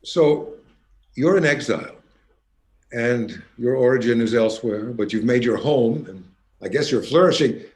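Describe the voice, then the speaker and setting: A man hosting a concert, introducing performers and interviewing them in a measured, courteous presenter's manner. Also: mid-range voice, darkish tone. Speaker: concert host.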